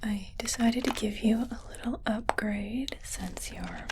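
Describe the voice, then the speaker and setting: A woman playing a calm, clinical but kindly alien medic in a whispered ASMR roleplay, hesitant and softly reassuring. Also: on the high side, unhurried, background noise, natural voice. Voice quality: breathy unvoiced whisper.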